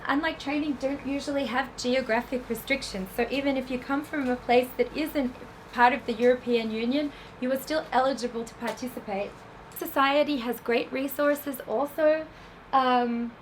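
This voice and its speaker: A woman with an Australian accent giving an explanatory festival talk to an audience, pausing often with ums. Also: sits high, slight background noise, poor audio. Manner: explanatory, with frequent ums and restarts. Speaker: film festival industry program head. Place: festival venue before an audience.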